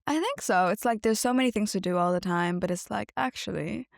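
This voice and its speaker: Gravelly-voiced young woman, chatty guest. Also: medium pitch, a little fast, no room sound, clean studio sound. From Japan. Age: 20s. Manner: chatty, animated storytelling with many fillers. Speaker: podcast guest. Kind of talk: casual chat.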